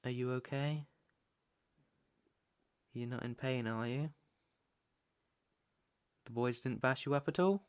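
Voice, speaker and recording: A British man playing a menacing, teasing mob boss's son in an intimate ASMR roleplay, with a deliberate, taunting delivery. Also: medium pitch, fairly even intonation, clear, resonant tone, natural voice. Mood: calm, concerned. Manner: slow, deliberate, taunting, swearing. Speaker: roleplay audio performer.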